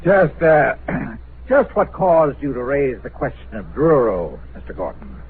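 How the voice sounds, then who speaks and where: Stern official on tinny old radio. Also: male voice, medium pitch, very animated. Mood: stern, grave. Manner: formal, deliberate, grave. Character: stern, officious male government health commissioner. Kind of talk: mid-century American radio drama acting. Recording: tinny, noisy vintage radio recording.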